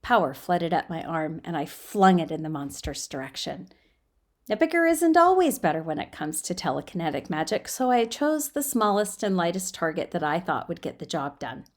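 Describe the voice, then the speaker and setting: Woman, somewhat low pitch, amateur storyteller, dramatic fiction narration, expressive and emphatic delivery, occasional word stumbles. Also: moderate pace. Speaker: amateur storyteller reading aloud.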